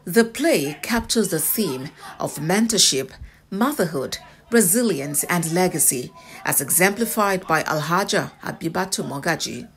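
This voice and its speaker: A woman's voice: on the low side, expressive, unhurried, noisy background. A woman with a Nigerian accent reading a scripted news report.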